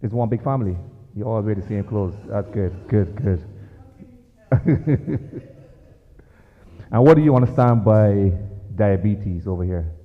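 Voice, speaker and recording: A man with a deep voice and a Barbadian accent gives a relaxed health talk. He is a guest doctor and keeps asking his listeners questions. The recording is tinny and narrow, and it rings with long church-hall echo. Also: a little slow, pauses often. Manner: chatty, asks the audience questions. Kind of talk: informal health talk to a congregation. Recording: lo-fi phone-like sound in a reverberant church hall.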